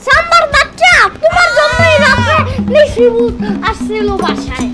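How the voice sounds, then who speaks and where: A woman voicing a cheeky, stubborn little boy who talks back with quick, sassy retorts. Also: very high-pitched, very animated, loud music behind the voice. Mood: defiant.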